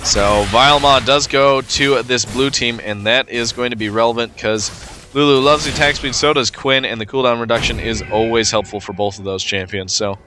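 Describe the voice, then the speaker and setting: Man commentating a game, stuffy from a cold, bright tone, easygoing, filler-heavy. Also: mid-range voice, moderate pace, a little hiss or hum. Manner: relaxed play-by-play with frequent fillers. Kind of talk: casual gameplay commentary.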